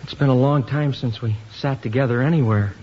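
An American actor playing a hesitant, earnest, lovesick young husband in a 1950s radio comedy. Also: male voice, mid-range voice, fairly quick, lightly acted. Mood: tender. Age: young adult. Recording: noisy, muffled 1950s radio recording.

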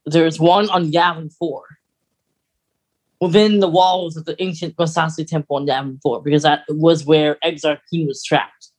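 A podcast co-host in casual fan chat, geeky and halting, getting animated when amused. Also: mid-range voice, low-quality recording. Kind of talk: casual fan chat about film lore.

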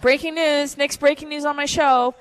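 A young woman hosts a sports talk show with a slightly high voice. Her banter is casual and playful, full of teasing. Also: steady tone, normal speed, poor audio. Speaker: radio show host. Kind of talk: casual sports radio chat. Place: bar and lounge.

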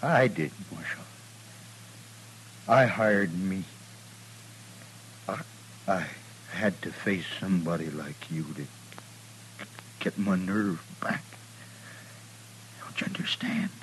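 Desperate old west gunslinger, american, really low n slow, stammery, old hummy radio. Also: male voice, a bit bright. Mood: broken, pleading. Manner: halting, stammering. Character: broken, desperate drunken gunman who lost his nerve. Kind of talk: 1950s Western radio acting. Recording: humming, noisy old radio recording.

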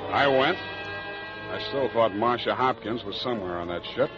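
An actor playing a wry, tough private detective, delivering deadpan hardboiled lines as the lead of a 1940s radio drama. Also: male voice, fairly low pitch, normal speed, natural voice. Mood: wry narration. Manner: deadpan, wisecracking. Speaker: lead and narrator. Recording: muffled old radio recording with music.

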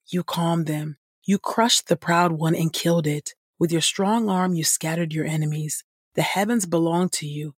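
A woman reading scripture as a podcast host, in a measured style with deliberate, reverent phrasing. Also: on the low side, darkish tone, husky, no room sound, clean studio sound.

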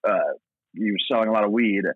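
Tinny phone-like sound, American man, low dry voice, rambling casual podcast guest. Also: little pitch movement, quick pace, dry recording. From Portland, Oregon, United States. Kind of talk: casual anecdotal chat.

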